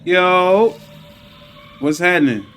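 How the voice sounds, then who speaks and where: A man's voice: high-pitched, animated, slow, fairly bright tone, a little hiss or hum. Slangy man commentating over gameplay. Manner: slangy, sweary, informal. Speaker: gaming streamer playing through a game. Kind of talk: casual live gameplay commentary.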